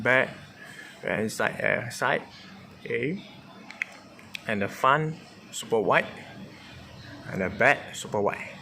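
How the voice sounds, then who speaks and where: A man with a Malaysian accent giving an informal product demonstration in short, clipped, halting phrases of simple English. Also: medium pitch, sharp tone. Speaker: car audio installer demonstrating a product. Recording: noisy room with clear background noise.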